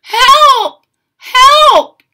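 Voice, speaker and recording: A woman voicing a small frightened toy child from a picture book, crying out loudly for rescue. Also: very high voice, pitched above the performer's own voice, full character voice. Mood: panicked. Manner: loud, drawn-out cries.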